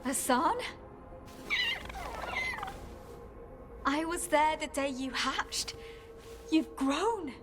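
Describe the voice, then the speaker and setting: An actress playing a caring, grave former warden recruit in a video game, speaking in a measured, earnest way. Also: female voice, sits high, animated, a bit bright, faint music behind, exaggerated voice. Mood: fond, playful.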